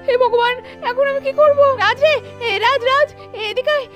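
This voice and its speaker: A woman acts out a grieving village woman in an extremely high voice. She is anxious and tender, lamenting in halting, repeated phrases. The doll-cartoon delivery has faint music behind it. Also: fairly bright tone. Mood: worried, pleading. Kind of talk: amateur doll-story cartoon acting.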